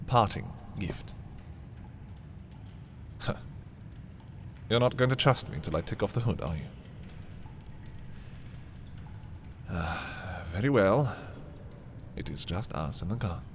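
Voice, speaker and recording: A haughty prince from a dark fantasy ASMR roleplay, acted by a man, speaks low and very slowly to his captive. He is theatrical and condescending, menacing in his deliberate pauses. Noticeable background noise sits behind the voice. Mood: smug, regal.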